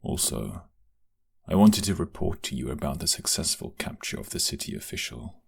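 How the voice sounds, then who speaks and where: A man's voice: rumbling low, big pitch swings, moderate pace, creaky, breathy edge, heavily acted. A man playing a loyal guard in a crime syndicate for an audio drama, speaking earnestly and with formal deference. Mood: respectful, earnest. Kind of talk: audio drama roleplay acting.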